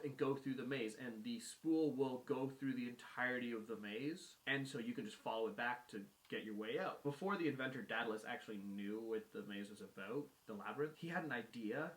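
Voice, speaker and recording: A man's voice: medium pitch, a bit bright. A man casually retelling a myth as a guest storyteller, with colloquial phrasing and acted-out dialogue. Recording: roomy echo.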